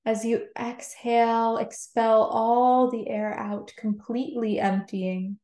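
A woman leading a guided meditation with gentle, soothing instructions. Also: on the high side, level tone, slow. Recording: roomy, echoing sound.

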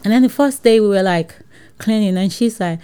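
A woman's voice: medium pitch, normal speed, warm tone, smooth. A woman with a Nigerian accent, an entrepreneur telling her story in a casual interview, acting out dialogue and laughing often. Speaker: entrepreneur guest.

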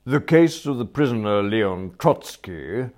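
Stern police court magistrate, male reader in role: deep, formal, weighty delivery. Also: animated, a little mellow. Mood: stern, condemning. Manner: ponderous, formal, deliberate.

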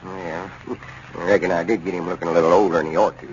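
An actor playing a proud, touchy older country storekeeper with a folksy rural Arkansas drawl in a 1930s radio comedy. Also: male voice, sits low, little pitch movement, quick pace, natural voice. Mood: defensive. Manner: folksy, self-important drawl. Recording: muffled, noisy 1930s radio recording.

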